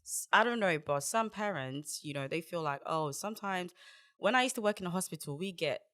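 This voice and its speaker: A man's voice: high-pitched, animated, a little fast, pushed and bright. A man from Ghana, talking earnestly in a casual group chat and telling stories from his work, often restarting with "the thing is".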